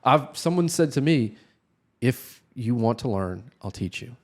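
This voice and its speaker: A man's voice: mid-range voice, animated, unhurried. A man from New Jersey talking casually as an interview guest, reflective, often checking in with tag questions. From New Jersey, United States. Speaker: podcast guest, real estate developer.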